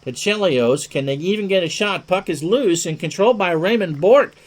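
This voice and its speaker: Guy doing hockey play by play, voice a bit on the high side, chatty, short clipped calls and jokey asides. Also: expressive, moderate pace. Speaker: play-by-play sports commentator. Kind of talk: hockey game commentary.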